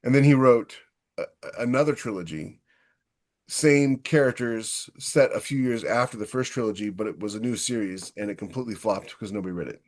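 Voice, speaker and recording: A man's voice: fairly low pitch, fairly quick, a little mellow. A man hosting a podcast in casual interview chat, probing and informal, with blunt interjections. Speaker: podcast host, author.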